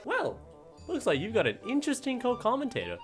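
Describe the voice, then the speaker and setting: A man's voice: very high voice, wildly swooping pitch, brisk, faint music behind, full character voice. A man voicing a prim, bookish female pony scholar in a cartoon parody, precise, dry and condescending. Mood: sardonic.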